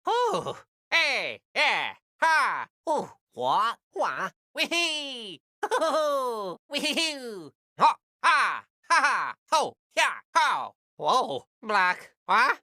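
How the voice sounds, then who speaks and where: A man voicing an excitable, good-natured cartoon plumber hero, in short exclamatory video game clips. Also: extremely high pitch, drawn-out words, few pauses, no echo, clean studio sound, exaggerated voice. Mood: excited exertion. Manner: exaggerated whoops and short exclamatory bursts.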